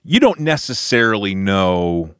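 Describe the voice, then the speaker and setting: Guy hosting a church podcast, really low voice, chatty, dry close sound. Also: sing-song, a little slow, fairly bright tone, clean tone, dry recording. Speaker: podcast host and pastor. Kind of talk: casual podcast conversation.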